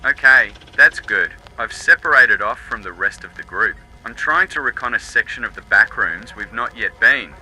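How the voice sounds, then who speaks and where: A man acting a brash, very sharp-voiced recon worker. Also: medium pitch, animated, normal speed, few pauses, a little hiss or hum, low-quality recording. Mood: cocky. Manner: brash, joking, teasing. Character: cocky, laddish male recon worker on a radio. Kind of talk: dramatised audiobook radio dialogue.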